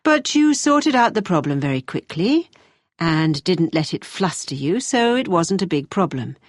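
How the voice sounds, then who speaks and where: A British university tutor in a scripted exam dialogue. She is brisk and exacting yet encouraging, with very animated intonation and measured, emphatic delivery, recorded dry and close. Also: lowish voice, no room sound. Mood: constructive. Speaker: tutor giving presentation feedback. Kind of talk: scripted listening-test dialogue acting.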